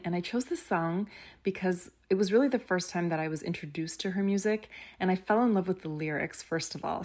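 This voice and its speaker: A woman presenting her favourite songs on a music countdown, talking personally and with enthusiastic appreciation. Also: fairly low pitch.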